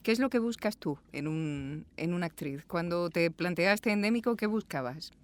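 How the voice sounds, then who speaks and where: A woman's voice: lowish voice, expressive, normal speed. A woman from interviewing conversationally in a small booth, with questions and short affirming replies.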